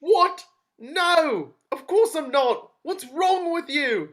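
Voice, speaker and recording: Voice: high voice, expressive, takes it slowly. A reader playing a blunt, defiant young working-class man in an amateur table read. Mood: angry. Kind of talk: amateur table read over video call.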